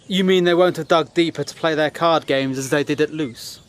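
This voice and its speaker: A man plays a British newspaperman of the Great War in an audio drama. His voice sits fairly high for a man, and he speaks with earnest, articulate scepticism and a dry, challenging edge. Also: steady tone, a little fast, close to an everyday voice. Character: principled, sceptical British First World War correspondent. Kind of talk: period audio drama acting.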